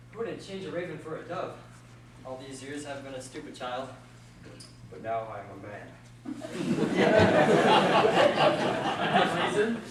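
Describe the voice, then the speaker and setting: A man's voice: on the high side, natural voice. A man playing an impulsive, lovestruck young lover in a stage play, earnest and melodramatic, recorded from far off in an echoey hall. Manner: earnest, playful, swinging to melodrama. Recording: echoey hall, distant mic, noisy.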